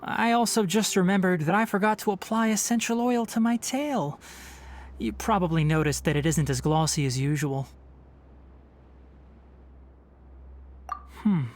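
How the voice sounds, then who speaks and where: A man voicing a thoughtful young forest ranger with animal ears and a tail in a video game, speaking in a measured, articulate way. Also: high voice, lively intonation, slight background noise. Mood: musing. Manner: measured, articulate, matter-of-fact. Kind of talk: video game character dialogue. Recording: game music behind the voice. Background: background music.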